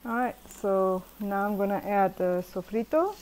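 A middle-aged woman calmly talking through her cooking steps in a chatty home tutorial voice-over. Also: medium pitch, a little slow, a little hiss or hum, rough recording. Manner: relaxed, chatty step-by-step explaining. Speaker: home cook.

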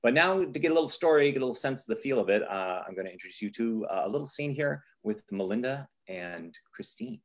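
A man's voice: mid-range voice, lively intonation, fast, few pauses. A man hosting an informal live presentation on a video call as a theater director, speaking with effusive enthusiasm. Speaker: theater artistic director, host.

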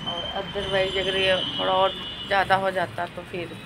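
A young woman in her twenties with a hoarse throat chats casually as she films herself around her home and up on the roof. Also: mid-range voice, monotone, normal speed, very noisy. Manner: chatty, walking and filming herself while doing chores. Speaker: vlogger. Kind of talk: casual vlog chat. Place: home kitchen and open rooftop. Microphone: phone held at arm's length.

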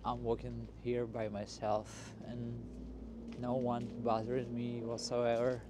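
A man hosting a walking tour in a casual, unscripted way, speaking in halting, broken phrases outdoors on a city street. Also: medium pitch, completely flat delivery. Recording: noisy outdoor street with traffic. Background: street ambience and passing vehicles.

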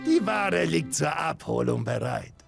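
A man's voice: a little high, sing-song, unhurried, exaggerated voice. A man playing a wary, businesslike contact handing over goods in a game. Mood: guarded. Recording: music clearly under dialogue.

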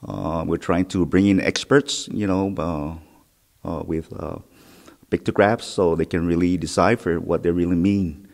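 A middle-aged man explaining a site, speaking haltingly with many fillers, recorded inside a cave. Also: low-pitched, fairly even intonation, vocal fry, a little mellow. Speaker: historic preservation officer. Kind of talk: on-site interview. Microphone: handheld mic held close. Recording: long cave reverb.

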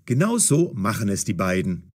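A man narrating a scripted audio story as storyteller, with a husky voice. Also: fairly low pitch, very animated, moderate pace, darkish tone.

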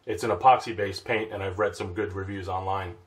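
A man's voice: sits low, steady tone, brisk, reverberant. A man giving a plain, matter-of-fact how-to narration about work on his sailboat. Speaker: vlogger refitting his sailboat. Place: aboard a boat in a marina.

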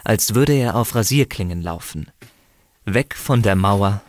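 A man narrating a scripted fantasy story dramatically, with measured, suspenseful phrasing and pauses. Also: fairly low pitch, expressive. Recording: slight background noise.

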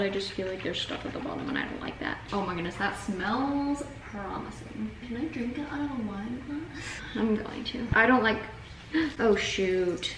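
A young woman, vlogging casually in her home kitchen, chatty and self-deprecating, full of "like" fillers. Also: mid-range voice. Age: young adult. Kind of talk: casual food vlog chat. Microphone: camera on the counter, some distance away. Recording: roomy, distant camera mic with hiss.

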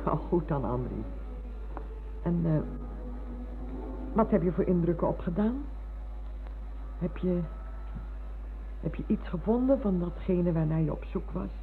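A woman's voice: on the low side, animated, a little slow, long pauses. A woman playing an elderly, motherly and fussy former schoolmistress in a radio drama, hesitating, repeating words and exclaiming. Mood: wondering. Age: older. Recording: muffled phone-like old radio recording, humming noise.

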